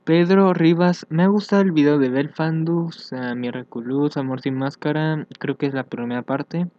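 A young man chatting casually as he reads social media aloud for a vlog, hesitant and thinking out loud. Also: on the high side, fairly even intonation, moderate pace, no echo. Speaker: fandub YouTuber. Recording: dull, lo-fi home microphone.

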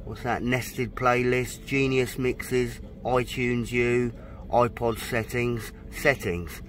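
A man's voice: mid-range voice, steady tone, a little hiss or hum. A man from the United Kingdom, casually demonstrating his boat stereo outdoors and reading out menu items as he goes. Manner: chatty, unhurried, reads out menu items. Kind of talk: casual hands-on product demo. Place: outdoors at a boat in a dry stack.

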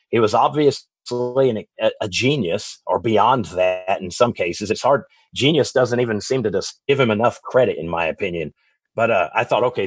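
A man's voice: mid-range voice, breathy edge, dry recording. A man with a Texas accent, a guest author giving long, rambling answers in a podcast interview, often restarting and correcting himself. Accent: Texan.

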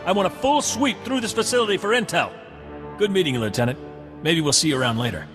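A man playing an energetic, respectful young recon lieutenant in a video game, brisk and commanding. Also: on the high side, lightly acted. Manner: brisk, commanding, deferential to superior. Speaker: military lieutenant. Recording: long reverb, music behind.

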